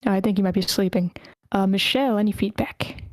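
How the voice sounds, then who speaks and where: A woman's voice: mid-range voice, brisk, darkish tone, dry recording, studio quality. A woman hosting an online feedback session, casually moderating and reading messages aloud. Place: online video meeting.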